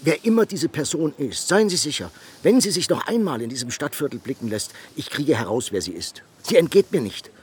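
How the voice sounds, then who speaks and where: An actor in a German radio play voicing a brash, gossipy Venetian fixer, brisk and worldly in manner. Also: male voice, fairly high pitch, big pitch swings, husky, exaggerated voice. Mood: suspicious, determined.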